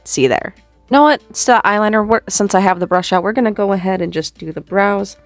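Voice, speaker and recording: A woman's voice: medium pitch, animated, fast, dry recording, faint music behind. A young woman chatting casually through a makeup routine, her voice a little sore, self-deprecating as she works. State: slightly sore voice. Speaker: makeup vlogger. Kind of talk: casual get-ready-with-me chat. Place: small bedroom with red curtain backdrop. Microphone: small desk mic on boom arm close up.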